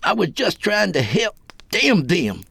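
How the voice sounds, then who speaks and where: A man's voice: high-pitched, very animated, a little slow, gravelly, sharp tone, husky, no echo, pitched above the performer's own voice, exaggerated voice. A man voicing a young, defiant, jumpy jailed killer with folksy rural speech in a narrated story. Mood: wounded, bitter.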